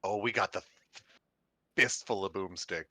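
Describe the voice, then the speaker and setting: A man's voice: deep voice, a little slow, no room sound. A man playing a tabletop game, chatting casually and wisecracking with the group. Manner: animated, wisecracking. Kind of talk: casual joking table chat.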